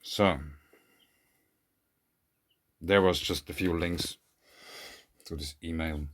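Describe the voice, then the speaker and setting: A man whose first language is German, speaking English in an earnest, questioning monologue to camera, often trailing off quietly. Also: low voice, steady tone, slow pace, slight background noise. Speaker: video creator. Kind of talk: casual monologue to camera, reading out an email.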